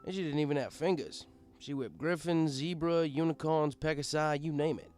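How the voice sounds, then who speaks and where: A man's voice: fairly high pitch, normal speed, darkish tone, faint music behind. A man reading a first-person story aloud as narrator, in a conversational, wry storytelling style.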